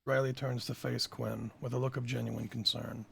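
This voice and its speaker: A very creaky male voice narrates stage directions at a script read. The tone is rough and husky, with a middle pitch. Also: flat delivery, moderate pace. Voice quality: gravelly, husky.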